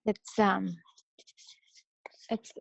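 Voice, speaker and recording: A woman's voice: medium pitch, steady tone, extremely slow, creaky, breathy edge, no echo, highs cut off. A woman co-hosting a podcast in casual, chatty conversation. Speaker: podcast co-host. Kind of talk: casual chat about crafts and home life.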